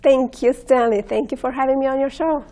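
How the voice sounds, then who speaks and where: Bulgarian-accented woman guest, higher voice. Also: fairly even intonation, fairly quick. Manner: warm, enthusiastic, with hesitant restarts. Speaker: guest actress. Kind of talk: studio interview. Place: TV studio with blue curtain.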